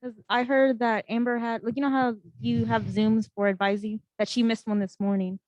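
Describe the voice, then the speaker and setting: A woman's voice: fairly high pitch, level tone, moderate pace, a little mellow, no echo, muffled recording. A woman, a student, adding to a meeting discussion over a video call, rambling and correcting herself.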